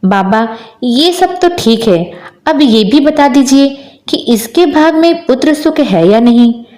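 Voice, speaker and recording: A woman's voice: high voice, lively intonation, slow pace, warm tone, echoey room, pitched above the performer's own voice. A woman voicing an older, devout village mother-in-law with a deferential, anxious manner in a moral tale.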